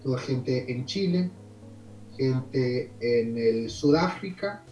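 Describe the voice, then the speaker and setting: A Canadian man whose first language is French talks with an Argentine accent, his voice sitting in a middle register. He tells long stories in a loose, rambling way, with many small pauses and fillers. The sound comes through an echoey room, and soft music plays behind him. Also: mid-range voice, animated. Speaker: immigration consultant. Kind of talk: interview over video call.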